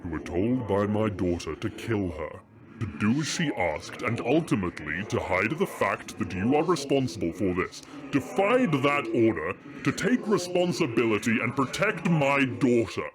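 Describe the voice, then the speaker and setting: A man's voice: medium pitch, sing-song, normal speed, close to an everyday voice. A man playing a regal old dragon king and father in an audio fantasy drama, with a stately, theatrical delivery. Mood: solemn.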